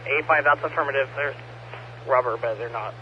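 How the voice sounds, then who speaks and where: A man's voice: mid-range voice, fairly quick, lo-fi sound. A man reporting as a police officer over two-way radio in terse, procedural phrases. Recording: noisy police scanner radio from the field.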